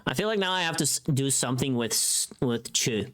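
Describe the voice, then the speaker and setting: Casting: mid-pitched livestreamer voice, chatty and informal, thinking aloud during a casual work stream. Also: animated, fairly quick, fairly bright tone. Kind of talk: casual livestream chat while working.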